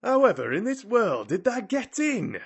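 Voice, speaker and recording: Man acting an indignant old Yorkshire gardener, husky, blustering. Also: high voice, wildly swooping pitch, normal speed, pitched above the performer's own voice, exaggerated voice. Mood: outraged, incredulous. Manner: haranguing, loud and outraged.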